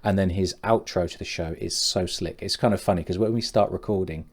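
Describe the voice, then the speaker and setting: A man's voice: deep voice, fairly quick, slightly rough. A man co-hosting a podcast in casual chat, teasing and laughing often. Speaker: podcast co-host.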